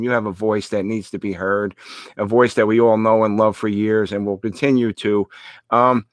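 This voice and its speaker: A man hosting an interview over a video call, earnest and halting, with many restarts and some teasing. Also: mid-range voice, no echo, clean studio sound. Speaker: radio show host. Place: home room indoors.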